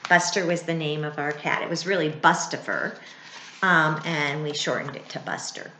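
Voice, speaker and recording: A middle-aged woman reads a children's book aloud like a teacher, expressively, pausing for asides and questions to young listeners. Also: low voice, a little hiss or hum. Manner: expressive, with dramatic gasps and thoughtful hums. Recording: roomy, echoey indoor sound.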